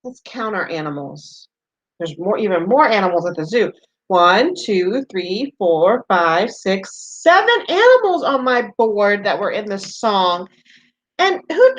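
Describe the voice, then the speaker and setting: A woman's voice: medium pitch, lo-fi sound. A woman teacher giving a read-aloud lesson to young children, speaking simply and encouragingly, with questions to the listeners.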